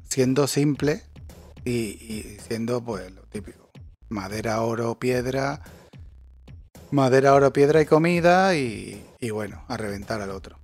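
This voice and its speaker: A man rants in a casual chat. He is sarcastic and swears freely, with an average pitch and faint music playing underneath. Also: darkish tone, husky. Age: 40s.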